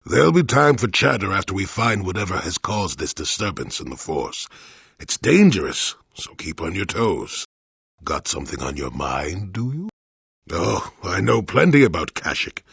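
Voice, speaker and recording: A man playing a cantankerous, wry old hermit in a video game, with a raspy, gravelly voice and a crotchety, theatrical delivery. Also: fairly low pitch, lively intonation, normal speed, a bit bright, dry recording, clean studio sound, full character voice. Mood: gruff, teasing. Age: older. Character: cantankerous wry old male hermit, a Force-sensitive former Jedi. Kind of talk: video game character voice acting.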